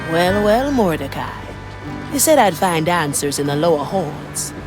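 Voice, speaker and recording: A woman's voice: fairly low pitch, very animated, measured pace, over music. An actress playing a wry, daring woman pirate navigator with a cool, confident manner. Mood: sly, confident.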